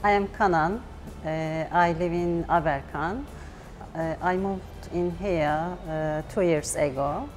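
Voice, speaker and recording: Woman from Turkey, slow and low, halting, music bed. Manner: halting, many fillers. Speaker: learner.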